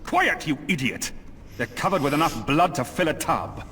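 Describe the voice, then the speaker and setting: A man's voice: on the high side, expressive, normal speed, fairly bright tone, in a large hall, slight background noise. An actor playing a nervy villain's henchman who snaps his lines in a fantasy video game. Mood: alarmed, irritated.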